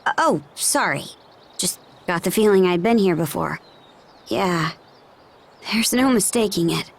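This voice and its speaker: A woman's voice: lowish voice, expressive, unhurried, vocal fry, heavily acted. An actress playing a plain-spoken young woman fighter in a video game, talking in a casual, wry, reflective way. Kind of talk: video game cutscene voice acting.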